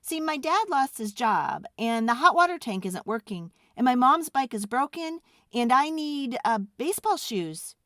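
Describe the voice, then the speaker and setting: Woman doing an earnest, high-pitched schoolboy. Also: lively intonation, a little slow, hardly any pauses, fairly bright tone, no room sound, studio quality. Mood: pleading. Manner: hesitant, stammering, emphatic. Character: young schoolboy Michael, earnest, excitable and pleading.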